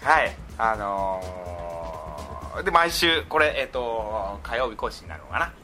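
A man with a highly animated voice hosts a casual radio podcast. His pitch moves around a lot as he banters, and music plays clearly behind him. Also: slow pace. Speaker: podcast host.

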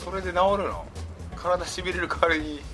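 A man's voice: sits high, lively intonation, fairly quick, over music. A man hosting a two-man podcast chat, laughing readily and playfully questioning his partner. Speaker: podcast host.

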